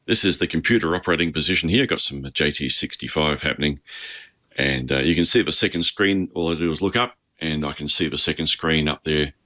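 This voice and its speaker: A man's voice: a bass voice, brisk, sharp tone. An Australian-accented man giving an informal instructional tour as a hobbyist. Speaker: amateur radio hobbyist.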